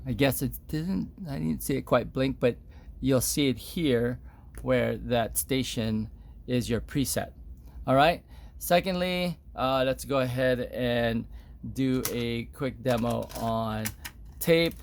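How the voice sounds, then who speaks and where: A man's voice: mid-range voice, slow pace, vocal fry, a little hiss or hum. A man giving a casual, unscripted product demonstration, explaining step by step in a hesitant way.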